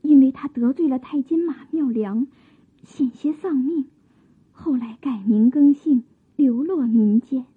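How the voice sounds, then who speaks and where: An actress playing a gentle, modest young maiden of old China in a Chinese radio drama, with soft, coy period diction. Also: female voice, high voice, unhurried, slightly rough. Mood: wistful, recounting. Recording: muffled narrow-band old radio drama.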